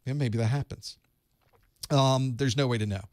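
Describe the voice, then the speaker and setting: A man's voice: mid-range voice, moderate pace, no echo, studio quality. A man hosting a live call-in study group, giving advice in a conversational way and thinking aloud. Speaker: host of a certification study group.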